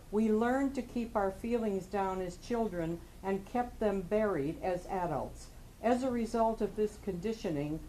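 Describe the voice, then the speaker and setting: A woman from the audience of a meeting, reading a passage aloud at a steady, even pace. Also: medium pitch, a little hiss or hum, low-quality recording.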